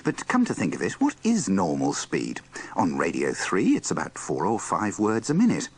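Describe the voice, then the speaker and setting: A man's voice: sits low, wildly swooping pitch, normal speed, slightly rough. A man presenting a scripted compilation with wry, dryly teasing asides and rhetorical questions. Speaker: presenter of a radio bloopers compilation. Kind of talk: scripted wry linking narration.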